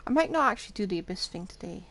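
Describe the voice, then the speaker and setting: A woman's voice: medium pitch, big pitch swings, rapid-fire, a bit bright. A woman giving casual live commentary while streaming a game, chatty with dry asides. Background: game music.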